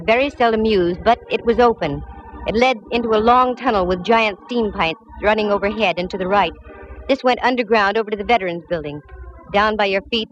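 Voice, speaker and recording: An actress playing a tough, wisecracking female private eye, narrating in brisk hard-boiled style on a 1940s radio drama. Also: mid-range voice, close to an everyday voice. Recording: dull old radio broadcast with music.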